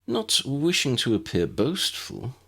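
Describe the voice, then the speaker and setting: A man's voice: mid-range voice, sing-song, a little slow, gravelly, pushed and bright, slightly rough, voice pushed up, heavily acted. A man voicing a courteous, modest, slightly bumbling fellow in a story, polite and explanatory.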